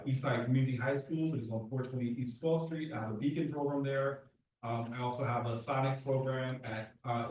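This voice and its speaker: A man's voice: medium pitch, darkish tone, a little hiss or hum. Earnest man speaking in an echoey hall. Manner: earnest, talks fast with restarts. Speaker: youth program director. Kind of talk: public meeting testimony. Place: community board meeting room. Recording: echoey room, far from the mic.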